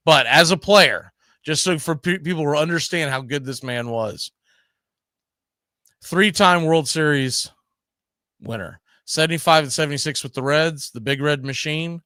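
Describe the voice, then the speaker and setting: A man's voice: a little high, pushed and bright, dry recording, clean studio sound. A man hosting a sports talk show, telling baseball history with an emphatic, storytelling delivery.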